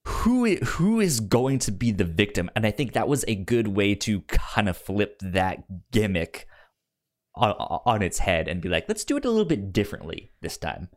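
Animated man in loose, casual podcast chat. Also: lowish voice. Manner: halting, repeats words while thinking aloud. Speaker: podcast co-host. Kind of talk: casual unscripted chat about a TV show.

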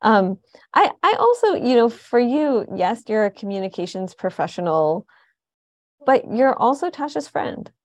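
A woman's voice: on the high side, big pitch swings, unhurried, no echo. A woman hosting an interview in a conversational style. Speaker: interview host.

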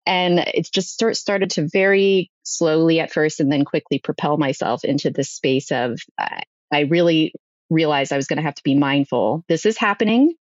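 A woman with a low-pitched voice tells her own story in a conversational interview. She voices remembered dialogue as she goes. The sound is dry and slightly dull, a little lo-fi. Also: moderate pace, dry recording. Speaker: podcast guest.

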